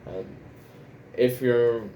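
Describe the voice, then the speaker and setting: Slow, halting Brazilian-accented man. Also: medium pitch, steady tone, warm tone, slight background noise, poor audio. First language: Portuguese. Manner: halting, repeats words and restarts sentences. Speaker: language learner vlogger. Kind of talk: unscripted monologue.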